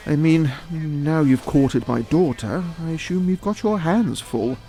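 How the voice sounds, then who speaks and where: An actor playing a worldly, middle-aged father in an audio drama, smooth-talking, provocative and theatrical. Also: on the high side, animated, unhurried, mellow, soft background music. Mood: probing, candid.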